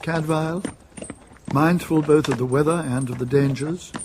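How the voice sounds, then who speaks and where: Narrator for radio drama: male, mid-pitched, deliberate and unhurried, with clear background noise and effects. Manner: measured, deliberate storytelling.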